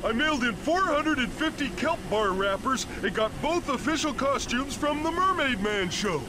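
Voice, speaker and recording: A dim, childlike cartoon starfish plays at being a superhero. The dopey voice sits very high and is naively exclamatory, over clearly noisy game audio. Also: male voice, animated, moderate pace. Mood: goofy, pleading. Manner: mock-heroic, naive, exclamatory. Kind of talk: cartoon video game acting.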